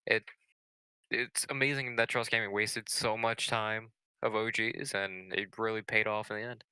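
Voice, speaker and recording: A man's voice: fairly low pitch, fairly even intonation, normal speed, sharp tone, no room sound, poor audio. A man giving analytical co-commentary on a live competitive video game match. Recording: clear game gunfire and noise behind.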